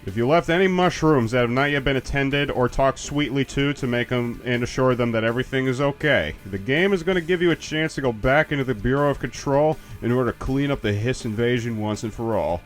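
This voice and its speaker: Man, casual game commentary, jokey and animated, faint music behind. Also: medium pitch, fairly quick, hardly any pauses. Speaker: let's play commentator.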